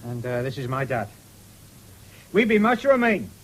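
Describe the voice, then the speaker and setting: An actor playing a young, plain-spoken farmer's son in a radio drama, with a rural English accent and a blunt, homely manner. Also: male voice, medium pitch, big pitch swings, normal speed, fairly bright tone. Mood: cheerful, plain. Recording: faintly noisy 1970s radio recording.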